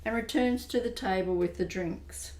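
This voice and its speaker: A woman narrating an amateur radio play in a plain, measured storytelling manner. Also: medium pitch, a little mellow. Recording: roomy echo.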